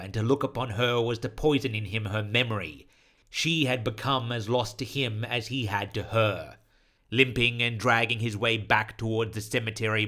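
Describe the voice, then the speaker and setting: A man narrating a scripted horror story in a solemn, deliberate, literary manner. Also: medium pitch, sharp tone.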